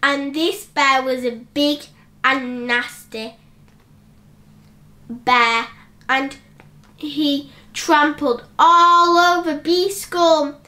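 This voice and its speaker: A woman narrating a children's bedtime story in an expressive, deliberate manner aimed at small children. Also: sits high.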